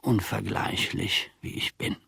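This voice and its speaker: An older male actor playing a grumbling, self-important old servant in a German radio play, musing deliberately to himself with a gravelly, husky voice, often sinking to a murmur. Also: sharp tone, no echo, studio quality. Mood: indignant, sly.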